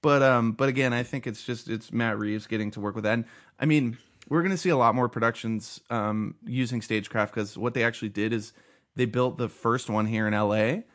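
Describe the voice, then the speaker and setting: A man's voice: mid-range voice, fairly even intonation, a little fast, fairly bright tone. A man hosting a casual chat about film news, talking with many fillers and restarts.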